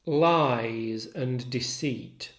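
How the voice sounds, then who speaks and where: A man lecturing as a literature tutor, measured, articulate and instructive in his delivery. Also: medium pitch, fairly bright tone. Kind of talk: literature lecture with recited quotations. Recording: roomy echo.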